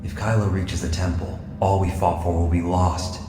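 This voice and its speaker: A grave old Jedi master, acted by a man for an audio drama, speaks low and with measured weight. The voice hangs in a long reverb, with loud music behind it. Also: little pitch movement, lightly acted. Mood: grave, urging. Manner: measured, portentous delivery. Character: solemn old male Jedi master spirit, grave mentor. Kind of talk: cinematic audio drama acting.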